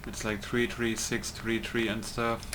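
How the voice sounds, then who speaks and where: A man's voice: fairly low pitch, level tone, unhurried, noisy background. Casual man muttering through a let's play. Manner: loose, muttering to himself, swearing. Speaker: video game streamer.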